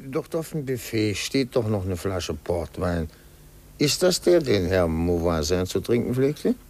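An older male actor playing a methodical retired police inspector in a 1950s German radio drama, gravelly and emphatic, with dry amusement. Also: deep voice, very animated, unhurried, darkish tone, full character voice. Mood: probing, matter-of-fact.

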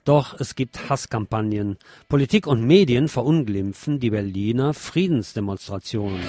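A man with a mid-pitched voice reads scripted radio news and slips in his own commentary. Music plays behind him as he presents. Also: darkish tone.

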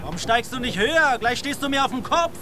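An actor playing a brash, fearless ship's photographer, brisk and commanding, in a German radio drama. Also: male voice, extremely high pitch, very animated. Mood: brisk, casual. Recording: loud wind and sea noise outdoors. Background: ship at sea ambience.

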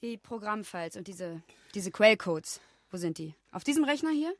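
An actress playing a tough, commanding police detective in a radio drama, curt and dry with coarse orders. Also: female voice, medium pitch, big pitch swings, normal speed, dry recording, close to an everyday voice.

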